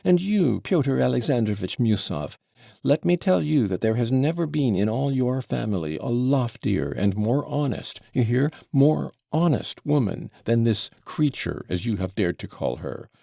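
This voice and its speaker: A man voicing a blustering old father who yells and splutters theatrically. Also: deep voice, expressive, moderate pace, hardly any pauses, no room sound, clean studio sound. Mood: ranting.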